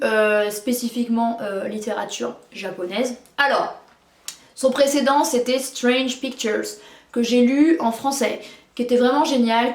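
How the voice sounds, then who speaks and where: A woman's voice: fairly high pitch, echoey room. A French-speaking woman reviewing books in casual, chatty talk, full of fillers and restarts. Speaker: book reviewer. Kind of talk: casual book review chat.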